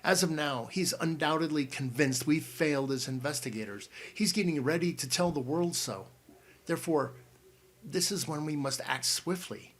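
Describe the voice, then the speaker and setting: A man's voice: fairly high pitch, moderate pace, a bit bright. A man reading an audiobook and voicing a bookish teenage boy who leads the group and speaks in formal, precise words.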